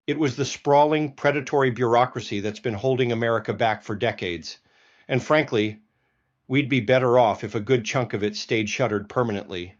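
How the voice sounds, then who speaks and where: A man's voice: medium pitch, muffled recording. A man hosting a political commentary show, delivering a scripted monologue in a folksy, emphatic way with pointed rhetorical questions.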